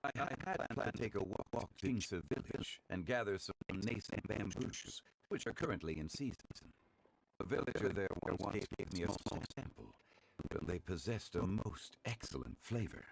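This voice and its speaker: A man playing a refined, courteous, wise gentleman in a video game, speaking formally and eloquently with composure. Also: lowish voice, expressive, moderate pace, creaky, very noisy.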